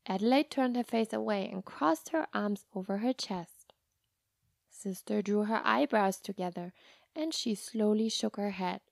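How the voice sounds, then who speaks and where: A woman narrating a scripted children's story in a gentle, expressive way. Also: medium pitch, a little slow, vocal fry, no room sound, studio quality. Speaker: story reader.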